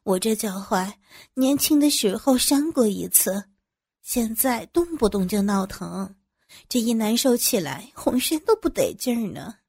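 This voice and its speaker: 一个女人在有声故事里演绎一位中年女老板，说话拖着腔，带着居高临下的纵容和叹词。. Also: female voice, fairly high pitch, animated, unhurried, vocal fry, a little mellow, husky, no echo, clean studio sound, exaggerated voice. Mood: complaining. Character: middle-aged female company boss, indulgent and self-satisfied. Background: music.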